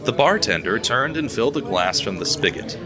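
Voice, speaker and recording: A man narrating a scripted audio drama in a steady storytelling manner, over background music. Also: medium pitch, brisk.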